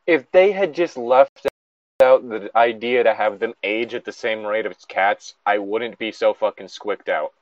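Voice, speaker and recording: A man's voice: lowish voice, unhurried, no room sound, muffled recording. A man in a casual joking chat, swearing freely as he reads aloud and comments as a co-host.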